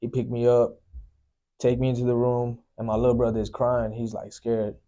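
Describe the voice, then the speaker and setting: A young man from Sri Lanka, a guest telling his life story in a soft, unhurried way, often filling with "you know, man". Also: mid-range voice, monotone. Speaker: guest sharing testimony. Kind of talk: personal testimony, casual storytelling.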